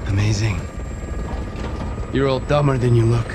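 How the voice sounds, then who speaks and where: An actor playing a calm, menacing Russian terrorist in a game, taunting and unhurried, with a Russian accent. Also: male voice, natural voice. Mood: smug taunting. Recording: loud music and helicopter rumble behind.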